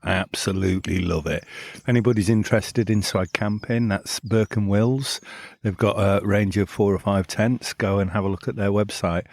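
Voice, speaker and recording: Upbeat low-voiced man. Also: a little mellow, no echo. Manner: easygoing, upbeat, recommends gear and sites. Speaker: podcast co-host. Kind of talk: casual chat outdoors at a campsite.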